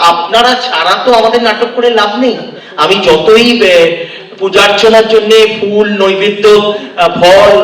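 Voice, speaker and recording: A man's voice: high-pitched, fairly bright tone. A man making a heartfelt speech to an audience as a theatre performer. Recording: echoey hall from far off.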